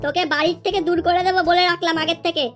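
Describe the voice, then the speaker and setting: A woman's voice: very high-pitched, fairly even intonation, very fast, fairly bright tone. A woman voicing a stern, blunt, quarrelsome father in a comedy cartoon. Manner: blunt, scolding.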